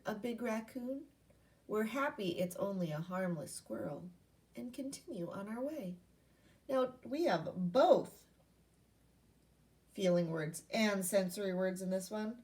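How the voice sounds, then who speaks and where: A woman's voice: mid-range voice, darkish tone, distant mic. A woman primary school teacher giving an interactive read-aloud lesson to young children, asking questions and repeating key points in an instructional way.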